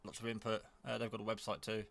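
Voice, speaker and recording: A man giving a casual, off-the-cuff product demo, rambling in a low-key way as he thinks aloud. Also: completely flat delivery, fast talker, creaky, fairly bright tone. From England. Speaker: hobbyist reviewer.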